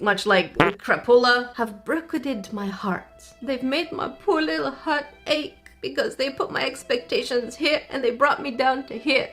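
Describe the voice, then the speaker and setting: A woman's voice: high voice, a bit bright. A woman hosting a casual craft-kit test vlog from her home craft room, chatty and playful with jokey asides. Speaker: craft channel host.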